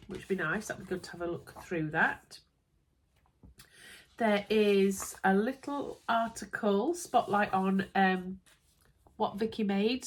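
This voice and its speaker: A young woman with a Yorkshire accent and a stuffy, congested voice from a cold, chatting in a bubbly way as she unboxes a sewing parcel at home. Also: mid-range voice. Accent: Yorkshire English. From Yorkshire, England. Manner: bubbly, chatty, lots of little asides. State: congested with a cold, nasal and bunged up. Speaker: sewing vlogger.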